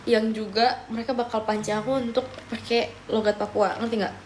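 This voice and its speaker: Chatty young woman streamer, higher voice. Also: steady tone, a little fast, darkish tone, a little hiss or hum. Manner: chatty, often switching into English mid-sentence; talks while eating and sipping a drink. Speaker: live streamer. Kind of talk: casual livestream chat answering viewer comments.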